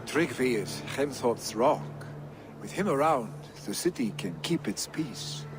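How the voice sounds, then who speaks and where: An actor playing a proud, confident Viking jarl's son in a video game cutscene. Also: male voice, medium pitch, expressive, measured pace, background noise, lightly acted. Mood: fond, proud.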